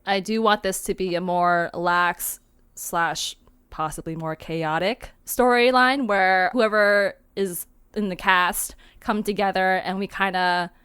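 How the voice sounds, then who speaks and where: A woman's voice: mid-range voice, fairly even intonation, measured pace, fairly bright tone, smooth, no echo. A woman hosting a podcast in a casual, chatty style. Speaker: host of a fiction podcast, cast member.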